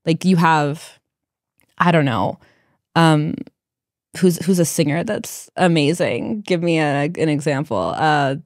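A woman in her thirties hosts an interview, speaking thoughtfully and clearly. Her voice sits a little low. The studio mic sound is dry and close. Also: slow, dry recording. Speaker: podcast host. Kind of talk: casual interview conversation. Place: dark podcast studio. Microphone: studio mic on boom arm, headphones.

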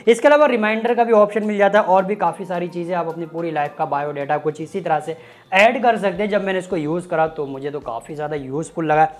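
A man's voice: high-pitched, fast talker. A man, hosting a casual, chatty tech review with joking, colloquial asides. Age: 20s. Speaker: YouTube tech host. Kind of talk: casual app review to camera. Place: small home studio room with desk. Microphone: lapel mic.

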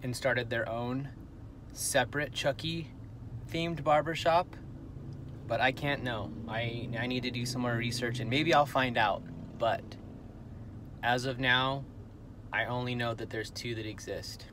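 A man's voice: medium pitch, steady tone, measured pace, pauses often, fairly bright tone, a little hiss or hum. An older man vlogging outdoors as he walks a city street, talking in a chatty, musing way and often breaking off to rethink his words. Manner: chatty, musing, self-interrupting. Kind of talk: casual walking vlog.

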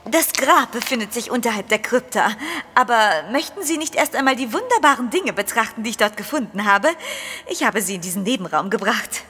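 High, animated lady poet. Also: fairly quick. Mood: eager, inviting. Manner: theatrical, well-bred, emphatic. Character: spirited lady poet and amateur archaeologist, theatrical, secretive. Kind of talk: audio drama dialogue acting.

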